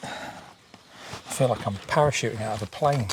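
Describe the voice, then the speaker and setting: A man's voice: on the low side, moderate pace. A man hosting a casual outdoor vlog, chatting with joking, teasing banter. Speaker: vlog host. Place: outdoors on a rural island. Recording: clear outdoor background noise.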